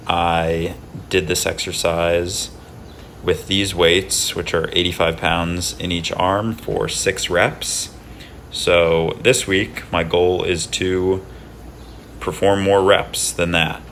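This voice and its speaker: A young man in his twenties talking casually through his day in a vlog, with a flat, monotone delivery. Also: sits low, slight background noise. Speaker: vlogger. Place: apartment kitchen table and backyard.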